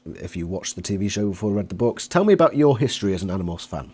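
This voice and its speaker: A man hosting a casual interview, chatty and quick to interject. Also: lowish voice, very animated, darkish tone, breathy edge. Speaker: interviewer and channel host.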